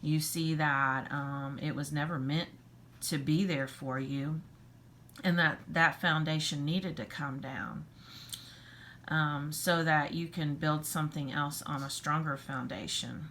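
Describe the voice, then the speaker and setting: A hoarse woman, losing her voice, giving a casual tarot reading in an earnest, rambling, reassuring way. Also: low-pitched, steady tone, slow pace, a bit bright, slight background noise. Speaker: tarot reader.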